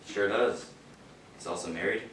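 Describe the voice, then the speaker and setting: A man's voice: fairly low pitch, normal speed, a bit bright, close to an everyday voice. An actor playing a bored, wry male radio performer in a stage play. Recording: distant, echoey stage recording with hiss.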